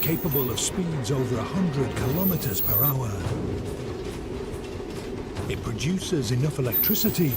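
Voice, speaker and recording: A man's voice: medium pitch, lively intonation, unhurried, long pauses. A man reading scripted, dramatic documentary narration. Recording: loud music under the voice.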